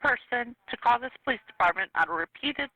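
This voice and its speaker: American woman dispatcher on a phone call, sharp bright voice, deliberate and mocking, no echo. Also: on the high side, expressive. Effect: telephone. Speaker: police dispatcher.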